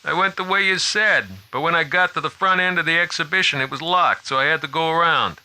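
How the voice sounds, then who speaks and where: A man's voice: on the high side, fairly quick, pushed and bright, pitched above the performer's own voice, low-quality recording. A man voicing a flustered male security guard with slangy, plain working-man talk, in an audiobook. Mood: flustered, defensive.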